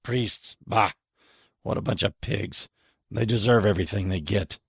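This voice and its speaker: An actor playing a seedy, suspicious older man in an audio drama, gravelly and contemptuous. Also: lowish voice, measured pace, no room sound, studio quality, exaggerated voice. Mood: bitter, contemptuous. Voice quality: gravelly, husky. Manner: wary, contemptuous.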